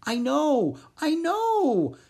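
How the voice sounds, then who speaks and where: A woman reading a storybook aloud, voicing a small, anxious but helpful male forest frog in a gentle, earnest tone. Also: high voice, huge pitch swings, very slow, voice pushed up, exaggerated voice. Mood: gentle, nervous. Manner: gentle, earnest, softly pleading. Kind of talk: storybook character voice.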